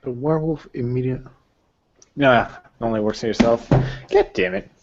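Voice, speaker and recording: A man's voice: medium pitch, animated, normal speed, creaky, soft background music. A man narrating a tabletop roleplaying game as game master, speaking casually with the players. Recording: dull, lo-fi voice recording.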